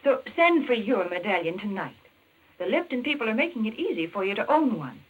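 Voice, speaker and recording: A woman giving a prim, earnest sponsor pitch as co-host on a 1940s radio show. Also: sing-song, fairly quick. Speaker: commercial announcer and co-host. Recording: phone-like old radio recording.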